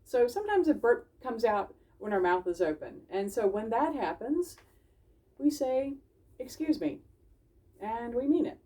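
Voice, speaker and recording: A woman's voice: a little high, sing-song, moderate pace, warm tone, far from the mic. A woman reading a children's story aloud, explaining it simply and putting questions to the listeners. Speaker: library storytime reader. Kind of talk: animated children's storybook read-aloud with asides.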